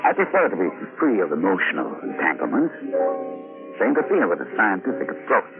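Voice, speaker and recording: An actor playing a haunted, guarded scientist in a vintage radio drama, speaking in formal, measured, hesitant phrases. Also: male voice, deep voice, huge pitch swings, heavily acted. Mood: withdrawn, sombre. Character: haunted, guarded male scientist, Dachau survivor. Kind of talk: 1950s radio drama acting. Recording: muffled old radio broadcast, music behind.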